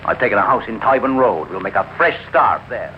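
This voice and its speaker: A man's voice: on the low side, lively intonation, a little fast, lightly acted. A man acting an earnest, long-suffering young husband in a dramatic old radio play. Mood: exasperated. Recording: dull, hissy old radio recording.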